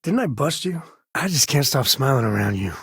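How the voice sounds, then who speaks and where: An actor voicing a gruff, wry spacefaring cowboy father in a video game, with a husky, raspy voice and folksy, informal delivery. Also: mid-range voice, very animated, brisk, dry recording, studio quality, full character voice. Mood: casual. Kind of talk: video game character voice lines.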